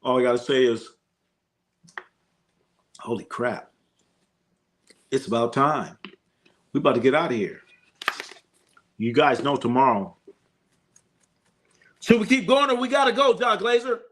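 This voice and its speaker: A man's voice: mid-range voice, expressive, a little slow, pauses often, a bit bright, slight background noise. A man hosting a live sports stream, chatting loosely with viewers and a co-host, joking and using slang with lots of fillers. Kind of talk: casual live stream chat reading comments.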